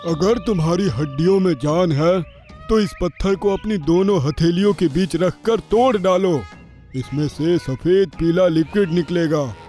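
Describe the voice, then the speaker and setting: A clever fat cartoon elephant, played by a man, boastful and sure of himself. The voice is very high for a male, with faint music under it. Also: measured pace, dark tone. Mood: taunting. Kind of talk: Hindi cartoon story dialogue.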